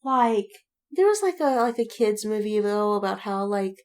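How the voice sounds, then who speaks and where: A woman with a mid-pitched voice talks casually as a podcast co-host. She is animated, often acting out her own reactions as she retells something. The delivery is informal and unscripted. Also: normal speed. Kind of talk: casual chat about films and fandom.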